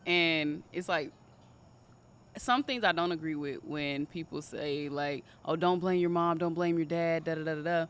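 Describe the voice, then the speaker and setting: A woman in her twenties in casual conversation, telling a personal story in an animated way. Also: low voice, normal speed, clear, resonant tone. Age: 20s. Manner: animated storytelling, quotes others in raised voice. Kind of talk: casual chat.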